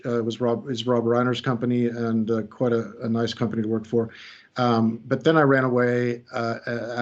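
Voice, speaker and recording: A middle-aged American man leading a webinar as a creativity coach, talking through slides in a loose, joking, conversational way. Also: medium pitch. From United States. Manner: conversational, lightly joking about himself. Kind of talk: online presentation walking through slides. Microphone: video call. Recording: slightly muffled video call audio.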